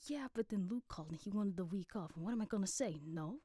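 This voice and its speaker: A woman as a breathy, harried radio producer. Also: mid-range voice, animated, quick pace, dry recording, clean studio sound. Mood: urgent, hushed. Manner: urgent, hushed. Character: harried, hushed radio producer interrupting the announcer.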